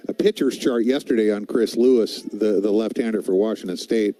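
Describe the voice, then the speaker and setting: An American man giving conversational baseball color commentary on the radio, telling things in a halting, anecdotal way. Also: on the low side, warm tone, no echo, low-quality recording.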